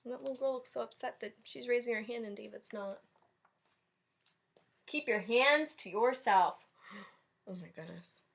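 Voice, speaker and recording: A woman's voice: mid-range voice, gravelly, fairly bright tone. A woman teacher leading a read-aloud storytime, chatting directly to young children and commenting as she goes. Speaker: elementary school teacher.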